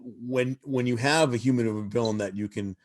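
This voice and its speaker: A man speaking as a panelist in a casual video call discussion, relaxed and halting with repeated starts. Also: mid-range voice, no room sound.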